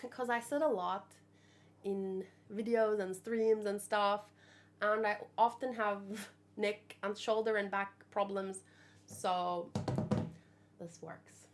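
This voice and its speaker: A woman hosting a manga read-along, talking in a casual, expressive, thinking-aloud way. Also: mid-range voice, slow. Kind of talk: casual reaction commentary with read-aloud dialogue.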